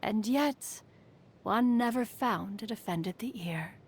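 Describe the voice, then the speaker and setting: A woman's voice: on the high side, animated, slow pace, slightly rough, heavily acted. A woman voicing a proud, refined, aloof ancient immortal in a video game, speaking formally and loftily. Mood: wistful. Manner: formal, haughty, calls herself "one". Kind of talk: scripted video game character voice lines. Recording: music behind the voice.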